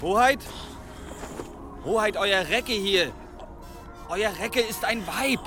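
An actor playing an alarmed medieval army physician, urgent and deferential, in an audio drama. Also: male voice, high voice, slow pace, over music.

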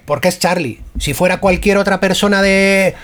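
A man hosts a casual radio chat, speaking in a notably high voice. He talks haltingly, with frequent pauses and restarts. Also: fairly bright tone. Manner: hesitant, many fillers and restarts.

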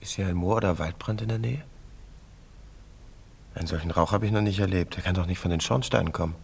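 A man's voice: low voice, quick pace, creaky, slightly rough, exaggerated voice. A man acting in a German radio play as a curious, friendly young travelling painter, polite and full of wonder. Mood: puzzled, curious.

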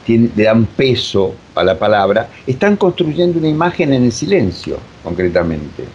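A mature man with an Argentine accent explaining reflectively as an interview guest, with emphatic repetition and deliberate pauses. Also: mid-range voice, big pitch swings, a little mellow. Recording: dull lo-fi sound, faint noise.

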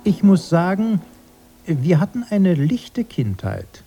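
An actor playing a prim, nostalgic elderly brother in a radio play, earnest and quick to correct. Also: male voice, high-pitched, very animated, exaggerated voice. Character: elderly brother, proper and nostalgic, easily piqued.

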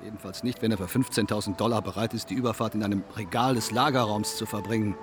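An actor playing a cynical, coolly provocative man in a stylised radio play, smug, needling and deliberate. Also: fairly low pitch, steady tone, music in the background, natural voice. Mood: cool, argumentative.

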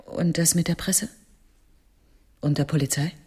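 Cool chairwoman, deep female register, husky, controlled politeness, radio play. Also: fairly even intonation, measured pace, mellow. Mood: guarded courtesy. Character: Andrea, composed, coolly polite chairwoman of an animal protection society. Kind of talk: radio play acting in dialogue.

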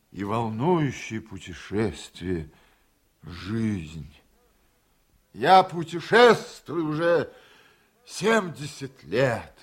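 An actor playing an elderly senator in a radio play, rambling sentimentally and haltingly through a banquet speech, repeating himself like a tipsy orator. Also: male voice, fairly high pitch, fairly bright tone, breathy edge, full character voice. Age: 70s. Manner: halting, repetitive, theatrical oratory. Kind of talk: Soviet radio drama acting, speech-making.

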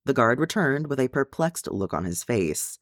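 A man's voice: mid-range voice, big pitch swings, normal speed, a bit bright, dry recording, clean studio sound. A man reading a story aloud as narrator, in scripted audiobook style. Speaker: fan fiction narrator.

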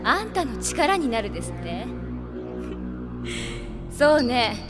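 A woman playing a feisty, headstrong young female mage in an audio drama, sharp-tongued and emotionally expressive. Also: fairly high pitch, slow pace. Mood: bitter defiance. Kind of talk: Japanese anime audio drama acting. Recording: music behind the voice.

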